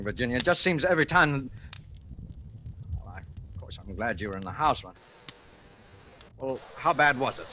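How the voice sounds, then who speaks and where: A man's voice: medium pitch, pushed and bright. An actor playing an anxious, worn-down male diner owner who falters and placates, in a 1940s radio drama style. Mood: distraught. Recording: hissy vintage radio broadcast.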